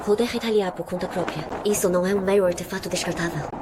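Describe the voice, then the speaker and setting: A woman's voice: lowish voice, fast, background noise, natural voice. An actress voicing a cautious female retainer in a dubbed motion comic, speaking earnestly. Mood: astonished. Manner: earnest, warning.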